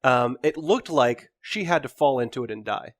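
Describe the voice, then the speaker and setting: Medium-pitched man chatting as podcast co-host. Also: lively intonation, moderate pace. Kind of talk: casual discussion, steering the topic.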